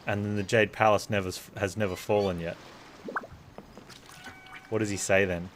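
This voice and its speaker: A man's voice: on the low side, little pitch movement, moderate pace, noisy background. A man giving casual live gameplay commentary, thinking aloud and chatting with viewers. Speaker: game streamer.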